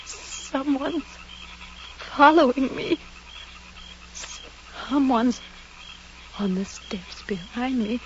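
Casting: terrified young woman, higher voice, slow anxious delivery, breathless old-time radio melodrama. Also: lively intonation, full character voice. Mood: anxious, frightened. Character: terrified young woman walking home alone at night. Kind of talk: 1940s radio drama acting. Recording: noisy, muffled old radio recording.

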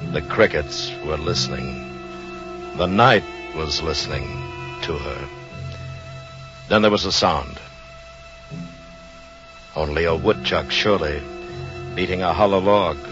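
A male actor playing a grave narrator of a horror tale in 1940s radio drama style, dramatic and deliberate. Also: extremely low pitch, music in the background. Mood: ominous. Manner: dramatic, deliberate, urgent repetition. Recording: muffled old radio recording.

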